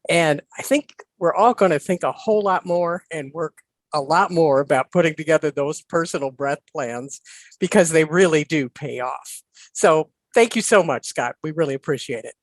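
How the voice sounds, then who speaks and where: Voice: mid-range voice, normal speed, no room sound. Effusive host thanking a speaker. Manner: effusive, grateful.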